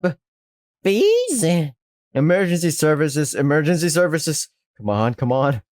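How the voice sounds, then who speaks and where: A man's voice: on the high side, normal speed, a little mellow, no room sound, studio quality, lightly acted. A man voicing a timid, nervous young animal shop worker who stammers in panic. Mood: panicked.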